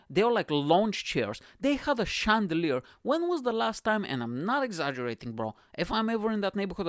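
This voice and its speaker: A young man with a voice a little higher than most men's riffs loosely through a comedic monologue as the show's host. He swears often and keeps asking the listener whether they follow him. The recording is close and dry, with no room echo. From Bulgaria. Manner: profane, rambling, keeps asking "you know what I'm saying". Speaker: podcast host.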